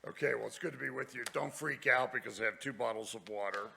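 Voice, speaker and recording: A man from Ohio giving a guest sermon in a church, with a raspy voice and a folksy, joking way of talking. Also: mid-range voice, fast, pushed and bright. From Ohio, United States. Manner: folksy, jokey storytelling. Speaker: guest speaker. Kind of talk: sermon with humorous asides. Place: church sanctuary.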